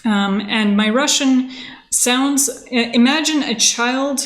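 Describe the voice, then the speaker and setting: A woman, explaining technical things casually and haltingly on a livestream. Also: high-pitched. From born in Moscow, grew up in Canada. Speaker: software engineer, livestream host.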